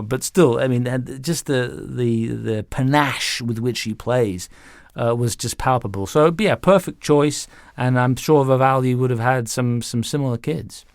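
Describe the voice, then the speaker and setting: A middle-aged or older man speaking conversationally as an interview guest, explaining things in an animated, anecdotal way with self-interruptions. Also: medium pitch, dry recording, clean studio sound. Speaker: interview guest, music director and violin teacher.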